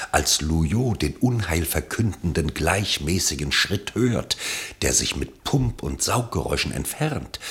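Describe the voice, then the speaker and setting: Very deep, creaky male narrator. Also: a bit bright. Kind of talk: scripted literary narration for radio play.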